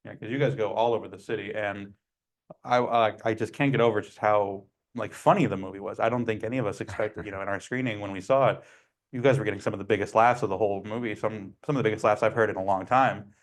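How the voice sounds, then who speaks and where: A man with a somewhat low voice interviews film actors. His questions are chatty and enthusiastic, and he uses many casual fillers. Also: steady tone, fast talker.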